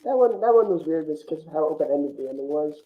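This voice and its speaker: A man's voice: on the high side, lively intonation, fast talker, reverberant. A man chatting casually about films as a podcast panelist, over a muffled, thin connection. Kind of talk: casual film chat. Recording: muffled, thin phone-like line.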